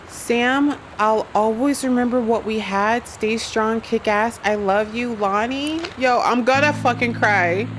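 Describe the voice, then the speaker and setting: A woman streaming a game live, expressive and reacting out loud, with some swearing. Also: a little high, slow, soft background music. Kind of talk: live gameplay commentary.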